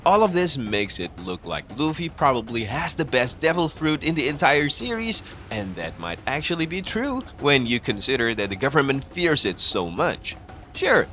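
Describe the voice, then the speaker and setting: Man narrating with lively, swooping pitch. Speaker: narrator of an anime explainer video. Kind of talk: scripted explainer narration.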